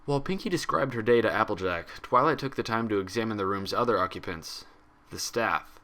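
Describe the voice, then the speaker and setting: A man's voice: fairly low pitch, brisk, pushed and bright. A man reading a scripted story aloud as its narrator. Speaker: fan fiction reader.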